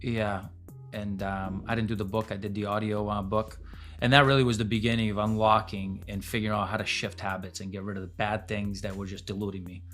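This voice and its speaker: Fast-talking blunt man in his forties. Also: on the low side, level tone, fairly bright tone, faint music behind. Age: 40s. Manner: blunt, casual, swears freely. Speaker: podcast guest, pasta business owner. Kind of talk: candid storytelling interview.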